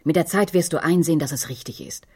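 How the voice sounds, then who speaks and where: A woman's voice: low voice, fast, a little mellow, breathy edge. An actress playing a firm, possessive mother in a radio drama, speaking in an insistent, controlled manner. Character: firm, possessive mother pleading with her resistant daughter. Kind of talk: German radio crime drama acting.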